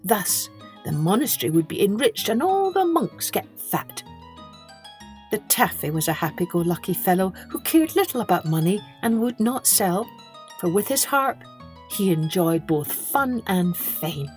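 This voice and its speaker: A woman's voice: mid-range voice, very animated, a little slow, a little mellow, faint music behind. A woman reading a children's story as a podcast storyteller, expressively, with dramatic emphasis on key words.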